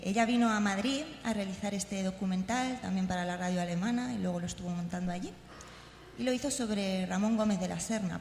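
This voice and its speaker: A woman from gives an informal talk introducing audio clips, haltingly and repeating words as she explains. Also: mid-range voice, darkish tone. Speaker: conference speaker. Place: large indoor congress hall. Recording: echoey hall, long reverb, faint noise.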